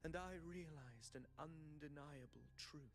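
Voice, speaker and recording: A high-voiced, brooding, theatrical young man. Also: a little hiss or hum. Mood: intense. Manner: dramatic, deliberate, philosophical monologue delivery. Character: brooding, theatrical young man questioning his own existence. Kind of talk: video game voice acting. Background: background music.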